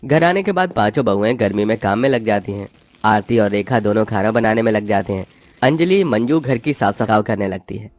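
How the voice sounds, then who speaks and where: A man's voice: fairly low pitch, brisk, darkish tone, no room sound. A man reading scripted narration for a cartoon moral story. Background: background music.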